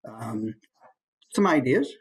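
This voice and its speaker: A man's voice: mid-range voice, big pitch swings, takes it slowly. A man who works as a voice actor, giving a casual, conversational instructional presentation with easygoing asides.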